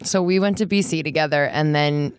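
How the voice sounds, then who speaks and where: Woman, late 20s, lowish voice, dry low-key delivery, close dry sound. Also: a little fast, clear, resonant tone, no echo. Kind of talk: casual podcast chat.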